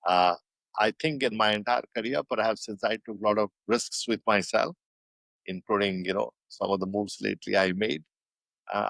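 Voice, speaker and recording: Older Indian-accented man, lowish voice, dry close sound, reflective guest. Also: vocal fry, a bit bright, no echo, rough recording. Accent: Indian English. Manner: measured, thoughtful, frequent fillers and restarts. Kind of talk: reflective interview answers.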